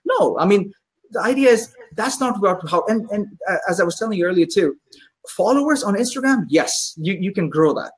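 Husky-voiced male restaurant owner, guest on an interview podcast, upbeat storyteller. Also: sits high, lively intonation, a little fast. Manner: enthusiastic storyteller, acts out customers' voices. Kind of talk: casual interview chat.

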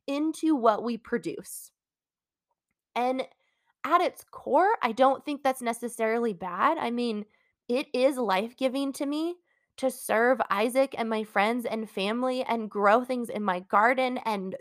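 Young adult woman, congested, solo podcast host; candid, rambling, encouraging delivery, slightly high pitch, dry close recording. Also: no room sound. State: congested from a cold. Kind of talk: casual solo podcast talk.

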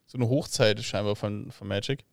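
A man's voice: on the low side, normal speed, vocal fry, no room sound, clean studio sound. A man co-hosting a podcast, chatting casually and cracking jokes. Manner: joking, chatty interjections. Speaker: podcast co-host. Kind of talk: casual conversation.

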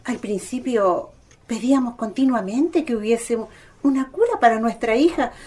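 A woman's voice: fairly high pitch, normal speed, darkish tone, breathy edge. An actress playing a tender, earnest middle-aged mother and devout daughter in a radio drama. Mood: worried. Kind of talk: Spanish-language radio drama acting.

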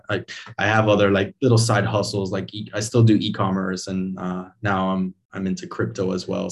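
A man in his thirties from Venezuela, raised in the States, talking casually as an interview guest, rambling with many fillers and restarts. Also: sits low. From Venezuela, raised in Miami. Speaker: interview guest, digital nomad.

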